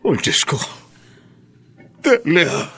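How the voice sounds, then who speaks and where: Slow, husky grieving old father. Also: on the high side, big pitch swings, fairly bright tone, exaggerated voice. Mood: reproachful. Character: grieving, devout older father, gentle but reproachful. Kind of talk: Filipino radio drama acting. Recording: radio drama with music underneath.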